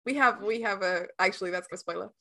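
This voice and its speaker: A woman with a very bright, forward voice at a middle pitch. She talks informally as a guest in a casual interview, leaning earnestly and emphatically on her points. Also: fast, vocal fry. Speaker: interview guest, audio drama director and producer.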